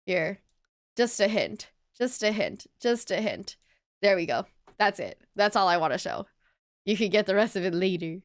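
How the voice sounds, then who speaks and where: A woman chatting casually on a game livestream in a playful, energetic way. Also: mid-range voice, normal speed, no room sound, studio quality. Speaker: streamer and VTuber. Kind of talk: casual livestream chat while playing a game.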